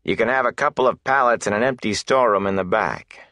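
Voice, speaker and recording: A man voicing a surly, stingy, menacing innkeeper in an audiobook, grudging and curt with rough folksy phrasing. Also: lowish voice, fast, sharp tone, no room sound, clean studio sound, pitched above the performer's own voice.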